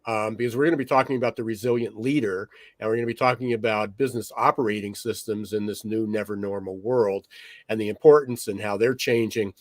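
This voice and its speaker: A man co-hosting a podcast, a little raspy today, talking loosely with many fillers and restarts. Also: fairly low pitch, dry recording. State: slightly raspy from smoky air. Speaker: podcast co-host. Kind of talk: conversational podcast monologue.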